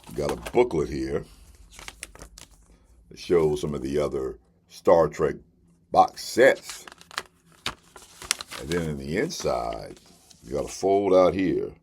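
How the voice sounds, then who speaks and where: A man's voice: very deep voice, a little mellow, slight background noise. A man hosting a casual, unscripted review to camera, talking in a conversational way and repeating phrases for emphasis. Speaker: host reviewing shows and collectible box sets.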